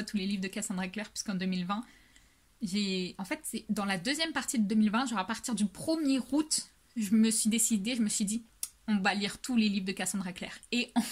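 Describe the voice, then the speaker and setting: Chatty young woman, fairly high voice. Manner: chatty, rambling with many fillers. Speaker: book channel host. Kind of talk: casual Q&A vlog.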